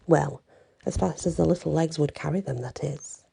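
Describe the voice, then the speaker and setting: A woman telling a bedtime story in a hushed, unhurried ASMR narration, drawing out phrases and adding knowing little asides to the listener. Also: low voice, creaky, breathy edge. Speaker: storyteller reading a tale aloud.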